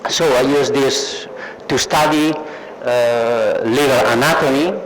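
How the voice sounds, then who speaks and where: A man with an Italian accent makes an acceptance speech in a middle-pitched voice. He is slow and halting, often searching for the next word. The event recording is clearly noisy. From Italy. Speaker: award recipient, surgeon.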